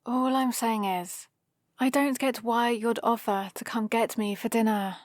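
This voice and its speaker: Woman playing a slow-talking British villainess, sardonic. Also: fairly high pitch, steady tone, no echo, studio quality, close to an everyday voice. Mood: exasperated, scolding. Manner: teasing, sardonic, casually conversational. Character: proud scheming female supervillain, gruffly caring for a rescued hero. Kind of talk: ASMR roleplay, intimate character acting.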